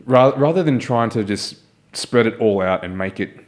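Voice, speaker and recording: A man's voice: on the low side, animated, moderate pace. A man, hosting an interview in an earnest, encouraging way and often adding "right?". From Malaysia. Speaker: podcast host. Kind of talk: casual interview chat.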